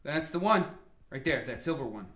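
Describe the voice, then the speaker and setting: An actor playing a commanding, urgent scientist who leads a research team in a radio drama. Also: male voice, on the high side, lively intonation, normal speed, fairly bright tone. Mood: focused. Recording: roomy echo, music underneath.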